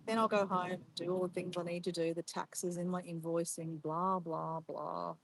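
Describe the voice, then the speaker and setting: A woman's voice: lowish voice, level tone, brisk. Chatty Australian woman outdoors, noisy background. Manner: rambling, chatty, informal. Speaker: livestream host. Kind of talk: casual livestream chat. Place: outdoors in nature near water. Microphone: phone or camera on a tripod. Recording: noisy outdoor livestream, clear background noise.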